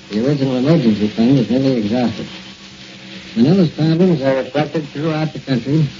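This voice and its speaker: Male 1940s foreign correspondent, warm dark tone, formal scripted reading, muffled relay. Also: mid-range voice. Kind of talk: scripted radio news dispatch. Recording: muffled, hissy 1940s shortwave radio relay.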